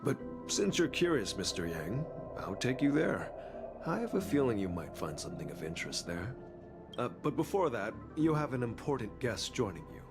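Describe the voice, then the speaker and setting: A man playing a modest, courteous gravekeeper in a video game, speaking in a calm, measured, understated way. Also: medium pitch, music in the background. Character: modest courteous middle-aged gravekeeper, calm guide of exiles. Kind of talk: dubbed video game story dialogue.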